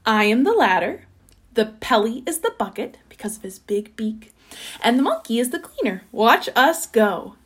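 A woman's voice: a little high, very animated, moderate pace, husky. A woman voicing a proud, superior female giraffe in a storybook, with a confident, showy manner. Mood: confident, businesslike.

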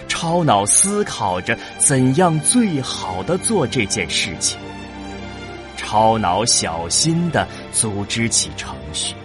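A man narrating a science-fiction audio drama in a solemn, measured, dramatic way. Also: mid-range voice. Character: solemn storyteller of a cosmic tale. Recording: music behind the voice. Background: music.

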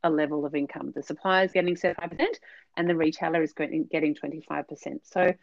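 A woman's voice: low-pitched, steady tone, fast talker, vocal fry, dry recording, highs cut off. A woman speaking as a podcast guest, earnest and explanatory, with frequent fillers. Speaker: podcast guest, business consultant. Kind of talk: conversational interview answers.

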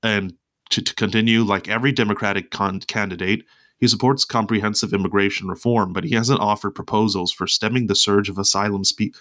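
A man's voice: lowish voice, a bit bright. Congested, nasal man hosting a podcast. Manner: hesitant, many restarts and fillers, reading article excerpts aloud. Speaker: podcast host. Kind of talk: solo political commentary podcast.

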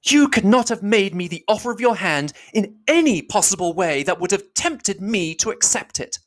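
Reader doing a high indignant young woman, accusing, heated, lively pitch swings. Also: lightly acted. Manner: heated, accusing, rapid declamation. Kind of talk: audiobook reading.

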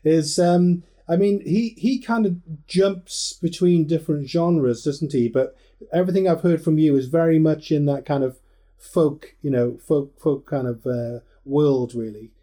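Male interviewer from Wales, mellow tone, a bit high, hesitant and chatty. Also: a little slow. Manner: hesitant, friendly, stacks fillers. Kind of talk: casual interview chat.

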